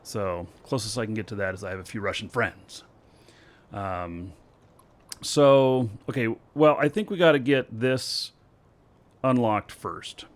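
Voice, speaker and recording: A man streaming a video game, talking slowly to himself with many fillers as he works out what to do next. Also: fairly low pitch. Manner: slow, thinking aloud with many fillers. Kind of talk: casual gameplay commentary.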